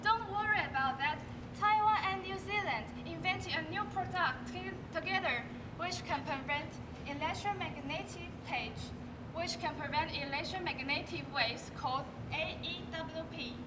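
A young woman in a student stage skit, reciting sales-pitch lines. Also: moderate pace. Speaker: student performer. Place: large school auditorium stage. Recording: distant, noisy hall recording.

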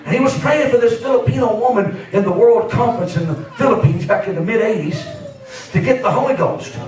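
A man's voice: high-pitched, a little fast, a little mellow, soft background music. A man in his fifties preaching a Pentecostal sermon in a fervent, emphatic way, punctuated with amens. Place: church sanctuary, at and around pulpit. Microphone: handheld microphone. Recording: very echoey church hall, from far off.

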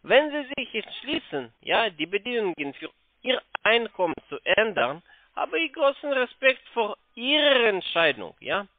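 A man with a foreign accent, not a native speaker, making a halting phone sales pitch with frequent tag questions. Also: big pitch swings. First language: not German. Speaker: telephone sales caller pitching investments. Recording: narrow tinny phone line.

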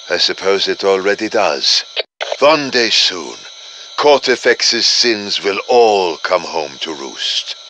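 A slow, earnest, dramatic professor. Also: male voice, fairly low pitch, bright, forceful tone, slight background noise, exaggerated voice, rough recording. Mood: grave, reflective. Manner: deliberate, formal, dramatic. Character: earnest scholarly male professor allied with demons. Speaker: game character giving players instructions. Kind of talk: video game voice acting.

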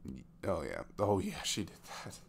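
Sweet, wounded single dad played by a male actor for romantic ASMR audio: very deep, hesitant, intimate, with background noise. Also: huge pitch swings, vocal fry, full character voice. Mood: hurt, confiding. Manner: hesitant, soft-spoken, halting.

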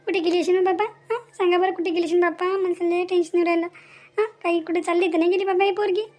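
A woman's voice: very high voice, steady tone, fast, darkish tone. A woman voicing an overworked, grumbling village mother in a cartoon, with a folksy Vidarbha Marathi accent. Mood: worried. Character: overworked, fretful village mother of a grown daughter. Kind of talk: amateur cartoon dialogue.

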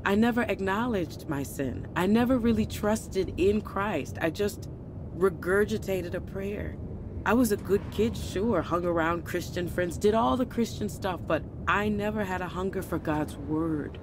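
Earnest, troubled churchgoing wife, played by an actress. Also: female voice, medium pitch, measured pace, noisy background, lightly acted. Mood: confessional, anguished. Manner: earnest, confessional. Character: earnest, troubled pastor's wife confessing empty faith. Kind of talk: audio drama acting.

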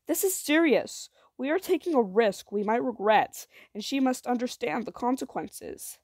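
Storybook dad, woman performer: wary and serious, measured delivery with a dry, sardonic edge. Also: a little high, lively intonation, a little mellow, no room sound, voice pushed up. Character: cautious, dry-humoured father.

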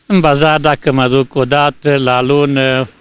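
An elderly man answering a street interview haltingly, searching for words, into a handheld microphone. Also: mid-range voice, level tone, smooth, dry recording. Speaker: passer-by interviewee. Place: outdoors on a snowy street. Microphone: reporter's handheld microphone.

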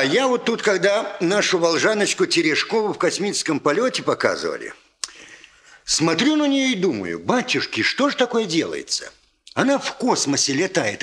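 A man's voice: high-pitched, big pitch swings, moderate pace, fairly bright tone. An actor playing a chatty, homely elderly father who grumbles and marvels in a folksy, rambling way, in a 1960s radio play. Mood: musing wonder.

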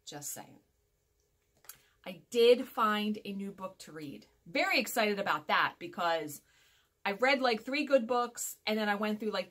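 Woman, forties, home vlogger. Mid-pitched, informal, talkative and emphatic, wanders and self-corrects. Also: a bit bright. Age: 40s. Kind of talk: casual chat to viewers. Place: small furnished room at home, indoors.

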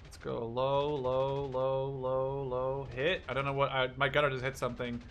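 A young man giving casual, profanity-laden gameplay commentary, excitable and often talking to himself as he plays. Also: medium pitch, moderate pace, slight background noise. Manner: excitable, swears freely, talks to himself. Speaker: gaming YouTuber. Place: small room at home.

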